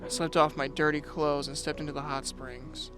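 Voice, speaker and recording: A man playing a reserved, dutiful young prince, narrating an audio drama in a measured, brooding way. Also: a little high, little pitch movement, close to an everyday voice. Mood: quietly resolute. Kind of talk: first-person audio drama narration with dialogue. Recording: music and rain behind the voice. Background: music and rain.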